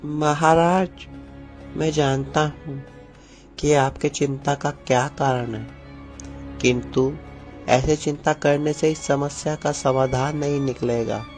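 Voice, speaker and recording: A man voicing a respectful royal minister giving formal, deliberate counsel in a cartoon tale. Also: medium pitch, natural voice. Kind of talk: Hindi cartoon fairy-tale dialogue.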